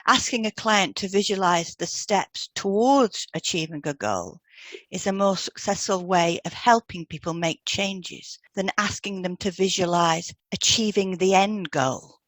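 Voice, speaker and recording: A woman's voice: mid-range voice, a little slow, no echo. A woman co-hosting a podcast, explaining therapy techniques in a scripted dialogue. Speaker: podcast co-host, hypnotherapist.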